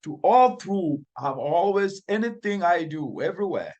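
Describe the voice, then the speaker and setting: A man's voice: high voice, sing-song, moderate pace, a little mellow, highs cut off. A man from Cameroon with a Cameroonian accent, giving earnest, emphatic interview answers as an environmental expert and building his phrases through repetition. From northwestern Cameroon.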